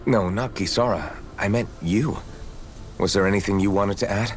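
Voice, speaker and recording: A man playing a steady, supportive young swordsman in a video game, plain-spoken and reassuring. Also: fairly low pitch, normal speed, a little hiss or hum, close to an everyday voice. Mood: easygoing, sincere. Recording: music in background. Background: background music.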